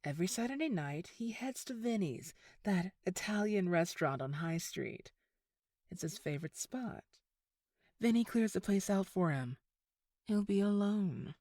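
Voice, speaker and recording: Woman playing a slow, sardonic reformed femme fatale. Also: medium pitch, animated, mellow, no room sound, clean studio sound. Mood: persuasive. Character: unflappable reformed criminal ex-girlfriend turned informant. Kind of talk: audio drama acting.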